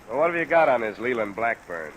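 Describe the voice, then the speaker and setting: An actor playing a dogged, level-headed insurance investigator in a 1950s radio drama, clipped and matter-of-fact. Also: male voice, mid-range voice, quick pace, dull audio. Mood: businesslike. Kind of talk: 1950s radio detective acting with narration. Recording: old radio recording, steady rain noise.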